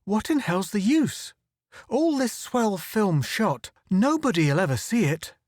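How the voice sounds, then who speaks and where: A man voicing a small, nervous, wisecracking photographer who talks in a quick, excitable, wry way. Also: sits high, dry recording, studio quality, pitched above the performer's own voice, exaggerated voice.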